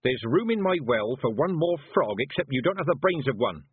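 A man voicing a stern, commanding old female witch in an audiobook, speaking in a brisk and imperious way. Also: on the high side, big pitch swings, husky, no echo, phone-quality sound, pitched above the performer's own voice, full character voice.

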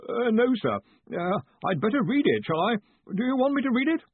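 A nervous, timid researcher from a fantasy storybook. He is high and squeaky, hesitating and quavering with meek deference. The sound is thin and phone-like. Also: sing-song, brisk, slightly rough, dry recording, exaggerated voice.

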